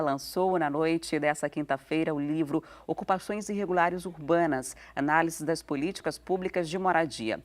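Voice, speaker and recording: A woman hosting a live morning TV show, thanking a guest and moving briskly into news items. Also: deep voice. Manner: brisk presenter delivery. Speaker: TV news anchor. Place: TV studio.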